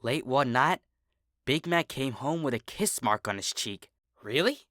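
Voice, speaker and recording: A narrator reading a fan fiction story aloud in an expressive, scripted storytelling style. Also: medium pitch, unhurried, no echo, clean studio sound.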